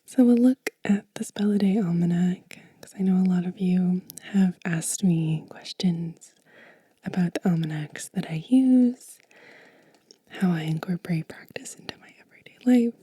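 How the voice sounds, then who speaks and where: A woman whispering softly and breathily in a gentle, unhurried, soothing ASMR show and tell. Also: lowish voice, breathy edge, no echo. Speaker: host of a witchcraft ASMR channel.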